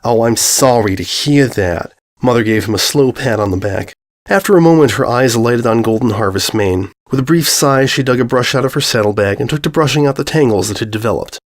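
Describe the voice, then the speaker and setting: A man reading a scripted story aloud as an expressive audiobook narrator. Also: mid-range voice, fast.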